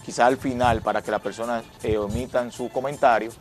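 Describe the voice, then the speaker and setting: A man co-hosting a studio talk show, a bit hoarse and jokey, stumbling over words. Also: mid-range voice, fairly even intonation, brisk. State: slightly hoarse. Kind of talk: casual studio chat. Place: TV studio set. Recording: TV broadcast with background music.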